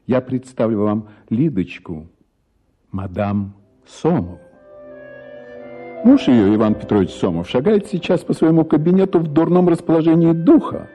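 An actor playing a worldly older gentleman who tells a story in a declamatory, animated way. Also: medium pitch, normal speed, soft background music, lightly acted.

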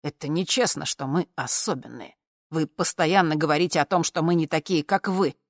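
A woman's voice: low-pitched, moderate pace, no echo, clean studio sound. A woman voicing a brash, earnest male bar musician who talks in slangy, insistent bravado. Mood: earnest, aggrieved.

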